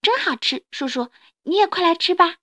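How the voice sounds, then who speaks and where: A woman's voice: very high-pitched, expressive, moderate pace, sharp tone, voice pushed up, full character voice. A woman voicing a sweet, tearful, grateful baby Spinosaurus boy in a storybook reading, childlike and pleading. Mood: happy, grateful.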